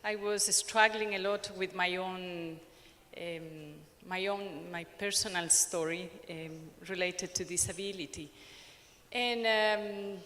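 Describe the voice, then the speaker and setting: A woman with an Ecuadorian accent speaks slowly and deliberately at a conference. She pauses often and restarts her sentences. Her voice carries a long reverb from a large lecture hall. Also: on the low side, fairly even intonation, fairly bright tone. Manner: halting, with frequent pauses and restarts. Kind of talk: academic conference talk.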